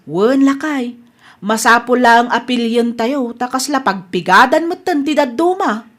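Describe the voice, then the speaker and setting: Woman acting a thrifty, high-voiced Ilocano wife. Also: measured pace, fairly bright tone. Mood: coaxing. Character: thrifty, trusting village wife. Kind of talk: Ilocano radio comedy drama acting.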